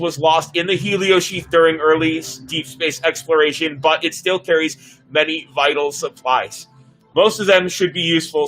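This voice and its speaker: A man's voice: on the high side, a bit bright, natural voice, low-quality recording. A man acting an earnest, urgent scientist giving a dramatic warning in a sci-fi story reading. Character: urgent, grave scientist sending a doomsday warning.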